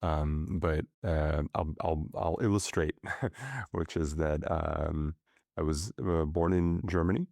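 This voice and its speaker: A middle-aged man, a podcast guest who grew up speaking Russian, talking thoughtfully with many pauses and fillers. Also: very deep voice, gravelly, darkish tone, no room sound, studio quality. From grew up in many countries. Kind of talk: reflective conversational interview.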